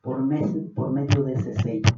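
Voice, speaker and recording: Mexican guy preaching, earnest, gets fervent, kinda far off the mic in a boomy hall. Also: steady tone, moderate pace, dark tone, soft background music. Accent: Mexican Spanish. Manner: earnest, rising to fervent emphasis. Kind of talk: Bible study sermon. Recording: distant, dull, echoey room.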